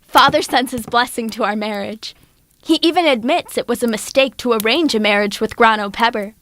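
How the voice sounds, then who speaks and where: A woman's voice: a little high, a little fast, fairly bright tone, close to an everyday voice. A woman playing a clever young bride-to-be in a theatrical audio drama. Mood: exasperated, fond.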